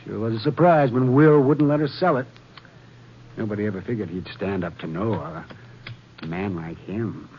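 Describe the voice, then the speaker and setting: An American actor playing a chatty, gossipy small-town hotel clerk with rustic, rambling speech in a 1950s radio western. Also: male voice, on the low side, normal speed, lightly acted. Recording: dull, faintly noisy vintage radio recording.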